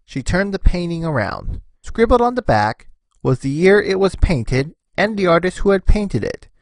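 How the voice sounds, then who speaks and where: A man's voice: high-pitched, very animated, moderate pace, no room sound. A man narrating a scripted story expressively, as the narrator of a fan fiction reading.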